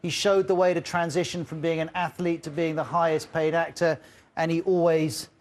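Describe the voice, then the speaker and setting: A man's voice: fairly high pitch, level tone, normal speed. A man reading breaking news as a television presenter.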